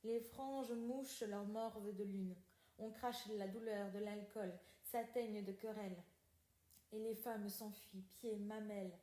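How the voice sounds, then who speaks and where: A woman reading literary poetry aloud in a deliberate, declamatory way with measured pauses. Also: mid-range voice, warm tone. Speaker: podcast reader. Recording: roomy, echoing sound.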